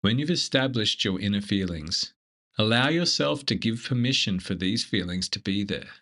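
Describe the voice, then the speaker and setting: A man leading a scripted guided meditation in a gentle, measured, instructive manner. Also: fairly low pitch, animated.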